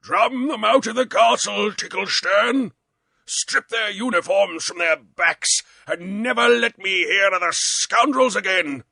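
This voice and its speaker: A man voicing a hot-tempered, pompous old prince in a storybook reading, with a husky voice and a slow, commanding delivery. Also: high-pitched, pushed and bright, dry recording, pitched above the performer's own voice, exaggerated voice. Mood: stern. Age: older.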